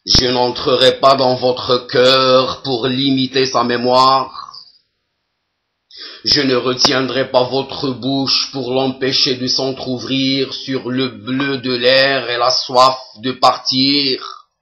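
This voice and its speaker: A man giving a solemn poetry recitation in a measured, deliberate way, pausing between phrases. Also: mid-range voice, little pitch movement, highs cut off.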